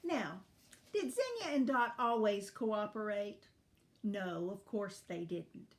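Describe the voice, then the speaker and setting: A woman storyteller reading expressively for children in a deliberate, teacherly manner. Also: mid-range voice, lively intonation.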